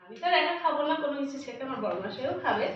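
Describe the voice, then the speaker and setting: A high-voiced woman with a West Bengal Bengali accent talks in a chatty, casual vlog style, addressing viewers like friends. The sound is strongly echoey and a little dull, as if recorded indoors on a phone or camera some way off. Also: lively intonation, racing. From West Bengal, India. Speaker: lifestyle vlogger. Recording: roomy echo, distant mic, dull, faint noise.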